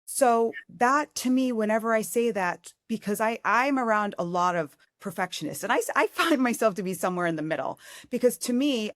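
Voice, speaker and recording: A woman's voice: fairly high pitch, lively intonation, fairly quick, fairly bright tone, no echo, clean studio sound. A woman in her forties hosting an interview, talking in an effusive way and often restarting her sentences. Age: 40s. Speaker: podcast host. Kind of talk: casual interview conversation.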